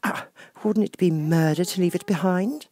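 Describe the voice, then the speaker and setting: A man's voice: high voice, normal speed, dark tone, pitched above the performer's own voice. A man voicing a polite, earnest little girl, prim, hesitant and anxious, in a storybook reading. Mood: earnest, scolding.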